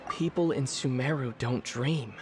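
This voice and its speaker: An actor voicing a weary, brooding man in a slow, reflective inner monologue for a video game. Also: medium pitch, background noise, natural voice. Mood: weary, pondering.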